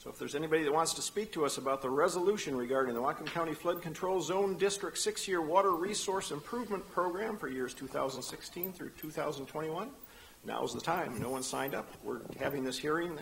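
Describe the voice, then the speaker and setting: A man chairing a county council meeting in a council chamber, running hearings briskly with dry asides, speaking into a desk microphone. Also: fairly high pitch, creaky, slight background noise, poor audio.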